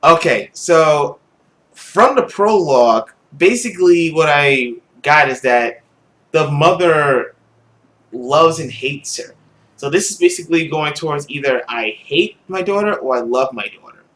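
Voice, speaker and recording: A man with a somewhat high voice plays through a visual novel as host. He mixes casual reactions with dramatic story narration. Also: animated, a little slow, a bit bright. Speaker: gaming video host.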